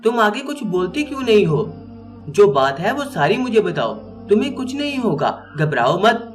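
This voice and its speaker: A worried middle-aged mother, put on by a male narrator, comforts and questions her daughter. The voice is gentle but resolute, with a fairly high, lively pitch. Music runs underneath. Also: normal speed. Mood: consoling. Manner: soothing, then firm and resolute.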